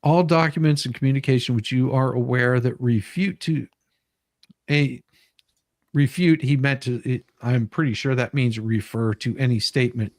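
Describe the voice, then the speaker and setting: A man's voice: medium pitch, normal speed, a little mellow, no echo, clean studio sound. A man hosting a casual live stream, explaining legal paperwork in an emphatic, sweary way. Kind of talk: casual commentary on a legal document.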